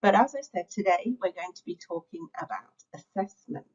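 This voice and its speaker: A woman's voice: medium pitch, animated, normal speed, a little mellow, slightly rough, muffled recording. A middle-aged woman, giving an online lecture to teachers, reflective and conversational with frequent filler sounds. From England. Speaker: teacher-training speaker. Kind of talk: webinar lecture.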